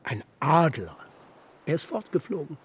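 An actor playing a stubborn, grumpy old steppe shepherd and grandfather in a children's radio drama, gruff and terse, with a husky voice. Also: fairly high pitch, sing-song, unhurried, slight background noise. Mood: gruff, defiant.

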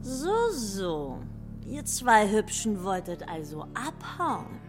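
A woman's voice: mid-range voice, sing-song, slow, over music. A woman playing a vain, hot-tempered villainous boss in a children's radio play, imperious, scornful and theatrical.

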